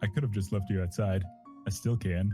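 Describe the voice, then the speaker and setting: A man's voice: fairly low pitch, steady tone, brisk, warm tone, soft background music, lightly acted. A man voicing a laid-back, dryly grumbling skeleton character in a fan fiction reading. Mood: annoyed. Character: laid-back, wry male skeleton, grumbling but caring.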